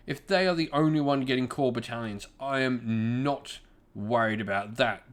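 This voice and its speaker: A man with a medium-pitched voice hosts a gaming channel. He talks in an informal, conversational style, offering commentary and speaking straight to his viewers. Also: a bit bright. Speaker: YouTube gaming channel host. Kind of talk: casual opinion commentary to viewers.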